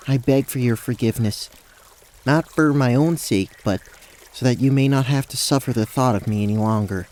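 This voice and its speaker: A man's voice: medium pitch, mellow, close to an everyday voice. A man playing a remorseful cursed penitent, speaking solemnly and earnestly in a formal way in a fantasy audio drama. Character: remorseful, cursed male penitent begging forgiveness.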